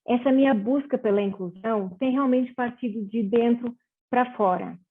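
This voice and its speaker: A woman's voice: a little high, husky. Earnest Portuguese woman over tinny call audio. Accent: Portuguese, with Brazilian tones. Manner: earnest, measured, with small hesitations. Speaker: panel moderator. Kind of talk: reflective panel talk. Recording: phone-like video call audio.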